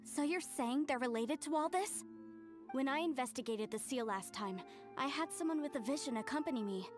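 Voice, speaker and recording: An actress playing an earnest young researcher of ancient ruins in a video game, explaining things. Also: female voice, sits high, lively intonation, moderate pace, music in the background. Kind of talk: English video game voice acting.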